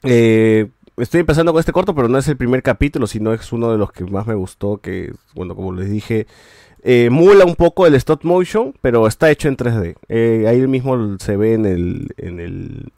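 A man's voice: mid-range voice, expressive, moderate pace, mellow, dry recording, clean studio sound. A man, hosting a podcast and reviewing shows in casual chat, rambling with many restarts and a habit of ending sentences with a questioning tag.

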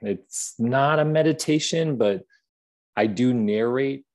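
A man with a soothing, gentle voice, talking thoughtfully as a guest in a podcast interview. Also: mid-range voice, takes it slowly. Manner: gentle, soft-spoken, thoughtful. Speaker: app creator, podcast guest. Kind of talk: reflective interview conversation.

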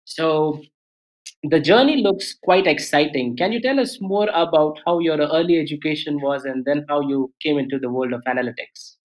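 Higher-voiced male interviewer asking questions. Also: normal speed, low-quality recording. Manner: encouraging, brief backchannel replies.

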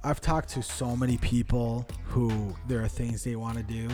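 A man's voice: mid-range voice, steady tone, normal speed, over music. A man hosting a podcast in casual chat, rambling with frequent restarts and lots of "like"; his first language was Portuguese. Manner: rambling, restarts often, says "like" a lot. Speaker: podcast host.

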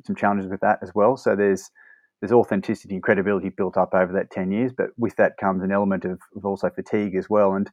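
A man speaks at a brisk clip in a fairly low voice, answering questions fluently with frequent restarts. The sound is dry and a little muffled, as if on a remote call. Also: no echo. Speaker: brand partnerships manager. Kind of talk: conversational interview answers.

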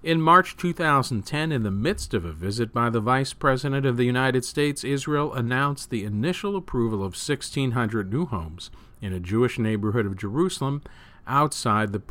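A man reading news and opinion articles aloud as a host, with measured, emphatic phrasing that falls away at sentence ends. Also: medium pitch, fairly bright tone. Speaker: news reader and show host.

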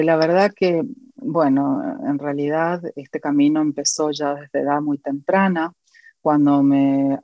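A woman from Argentina with an Argentine accent, a guest educator and author speaking earnestly in an interview, pausing often with fillers. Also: low-pitched, dry recording, muffled recording. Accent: Argentine Spanish. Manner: earnest, reflective, with many pauses and fillers.

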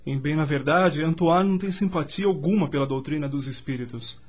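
An actor playing an earnest, courteous young gentleman student in love, speaking formally in a radio drama. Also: fairly high pitch, fairly quick, soft background music, lightly acted. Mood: wistful, friendly. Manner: formal, polite.

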